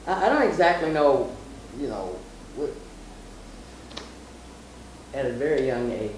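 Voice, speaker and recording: A woman's voice: a bass voice, sing-song, measured pace. Middle-aged woman in a very echoey room. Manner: emphatic, repeats phrases for stress. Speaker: mother, guest on her daughter's vlog. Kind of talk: casual personal storytelling and advice. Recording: very echoey room, distant mic, faint noise.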